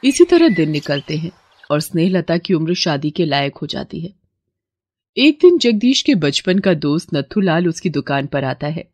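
A woman narrating a cartoon moral story in steady scripted style. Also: low voice, moderate pace, no room sound.

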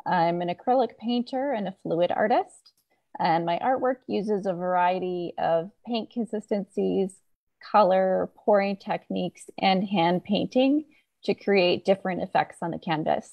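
A woman giving a prepared artist introduction in a polite, measured way over a video call. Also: mid-range voice, vocal fry, highs cut off. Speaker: local artist. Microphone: video call microphone.

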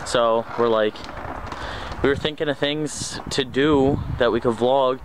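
A man's voice: mid-range voice, unhurried. Young man chatting over heavy noise. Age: young adult. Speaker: vlogger. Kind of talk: casual chat. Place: outdoors behind a mall, later in a car. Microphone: handheld camera near arm's length. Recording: very noisy outdoor and car audio.

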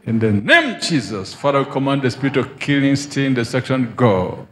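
A man's voice: mid-range voice, very animated, a little fast, fairly bright tone. A man leading a prophetic deliverance session who makes firm, declarative pronouncements and commands spirits to leave.

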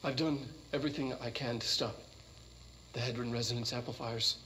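An actor playing an earnest, haunted middle-aged male scientist who records research logs in a halting, stammering, intense way. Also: mid-range voice, steady tone, pushed and bright, slight background noise, natural voice, low-quality recording. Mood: shaken, awed. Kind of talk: dramatic recorded log monologue in a video game.

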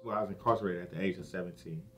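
A man gives a reflective documentary interview, pausing as he searches for words. His voice sits a bit low. Faint music plays behind him. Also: a bit bright.

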